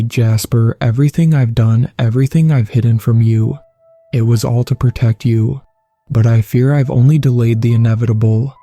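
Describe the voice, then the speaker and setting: A man's voice: mid-range voice, dark tone, close to an everyday voice. A man voicing a guilt-ridden father and secret paranormal investigator, grave and confessional, in a horror story reading. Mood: sorrowful confession. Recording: music behind the voice.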